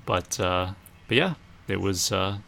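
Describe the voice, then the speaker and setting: Gravelly low-voiced man in casual chat. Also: animated, measured pace, bright, forceful tone, a little hiss or hum. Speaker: podcast co-host. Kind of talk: casual chat about films.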